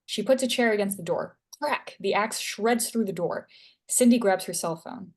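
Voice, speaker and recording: A woman's voice: mid-range voice, normal speed. A woman reading stage directions as scripted narration.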